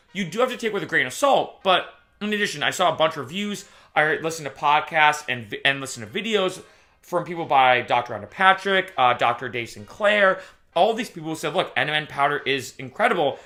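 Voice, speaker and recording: Bright-voiced young man vlogging to camera. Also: a little high, a little fast. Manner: chatty, restarts and self-corrections, animated retelling. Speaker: YouTuber. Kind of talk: casual talking-to-camera vlog.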